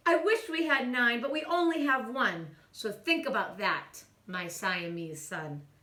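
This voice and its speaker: A woman's voice: on the high side, unhurried, pushed and bright, roomy sound, far from the mic. A woman voicing a frazzled, scolding mother cat in a storybook, exasperated and dramatic. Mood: scolding, worried.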